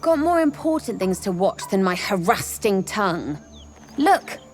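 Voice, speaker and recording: An actress playing a wary, blunt horned tiefling scout in a video game, speaking with streetwise urgency. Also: female voice, medium pitch, very animated, measured pace, faint music behind. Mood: wary, urgent. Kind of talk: dramatic video game cutscene acting.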